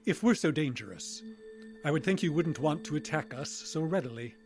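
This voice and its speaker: A man acts a defiant human facing an alien foe in an audio drama. His voice is on the higher side, and he speaks tersely, pushing back with challenges. Soft music plays behind him. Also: expressive, normal speed. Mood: defiant, baffled.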